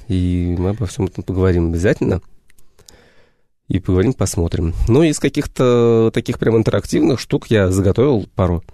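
A man guesting on a radio show, with a lowish, mellow voice heard close and dry. He explains things unhurriedly and stops often to find the right word. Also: no room sound. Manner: unhurried, searching for words. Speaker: history educator, guest. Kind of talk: radio interview. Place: radio studio.

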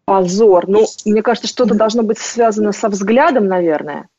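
A podcast host interviewing a guest and relaying listener questions with relaxed curiosity. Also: medium pitch, moderate pace, no room sound. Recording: dry, muffled lo-fi audio.

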